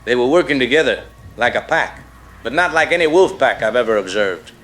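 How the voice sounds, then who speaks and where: A man playing a shaken rural cattle rancher, gravely telling his story as a caller in a radio drama. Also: mid-range voice, lively intonation, brisk, fairly bright tone, natural voice.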